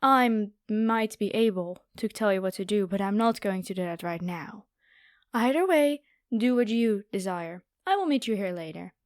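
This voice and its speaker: A woman's voice: medium pitch, animated, normal speed, no room sound, studio quality, natural voice. A woman playing a haughty, predatory noble vampire girl in an ASMR roleplay, with a taunting, playful, theatrical delivery. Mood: commanding, casual.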